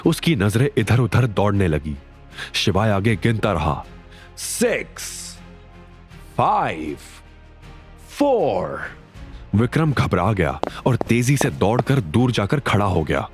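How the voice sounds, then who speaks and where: A man narrates a suspenseful audio story. His voice sits a little low but moves with a very animated range, in a dramatic scripted style. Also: normal speed, soft background music.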